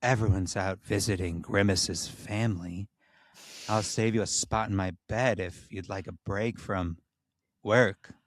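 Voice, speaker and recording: A man voicing a flirty, vain male clown in a comedy story, cooing in a hushed, sultry way with emphatic enunciation. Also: fairly low pitch, fairly even intonation, unhurried, slight background noise, lightly acted.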